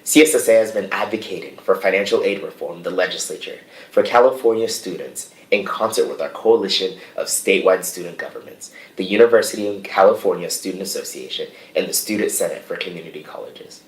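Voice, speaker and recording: Young male student leader, low voice, earnest and measured formal address, roomy sound. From United States. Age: young adult. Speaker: student association president. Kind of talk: prepared formal speech to a board. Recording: distant, echoey remote audio.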